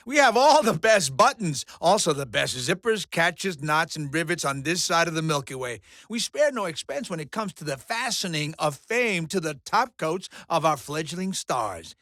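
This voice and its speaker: A man's voice: high voice, sharp tone, dry recording, studio quality, heavily acted. A man voicing a pompous, sleazy alien media mogul in a video game, with hammy, theatrical showman delivery. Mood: boastful reminiscing. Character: bombastic, sleazy alien showbiz mogul, male, crude and bullying.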